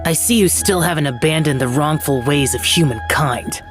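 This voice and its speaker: Cold, curt warrior-adept. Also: male voice, a little high, wildly swooping pitch, moderate pace. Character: stern aloof young male warrior-adept. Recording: game audio with faint music.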